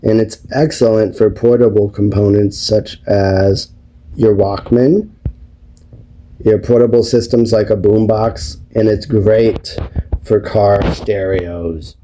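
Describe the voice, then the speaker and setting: A man talking casually as a video host, explaining things step by step in a rambling, unhurried way. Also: fairly low pitch, steady tone, mellow, low-quality recording. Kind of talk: casual explanatory vlog talk. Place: indoors at home, behind the camera.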